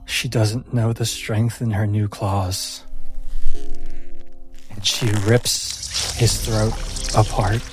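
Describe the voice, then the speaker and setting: A man working as game master, narrating a tabletop game in a solemn, deliberate, dramatic way with pauses. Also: lowish voice, level tone, over music.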